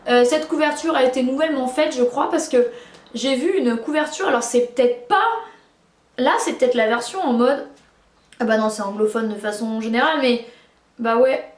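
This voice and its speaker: A woman's voice: fairly high pitch, moderate pace, roomy sound. A French-speaking woman reviewing books in casual, chatty talk, full of fillers and restarts. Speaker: book reviewer. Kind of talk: casual book review chat.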